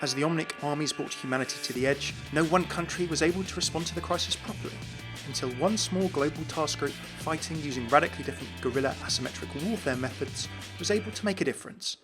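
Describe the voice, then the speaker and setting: Higher-pitched man narrating lore over music. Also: quick pace. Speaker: video narrator and host. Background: guitar music.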